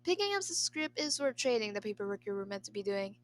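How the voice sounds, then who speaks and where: A woman's voice: mid-range voice, big pitch swings, quick pace, slight background noise. A woman narrating a scripted story as an amateur reader. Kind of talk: scripted narration of a fan fiction.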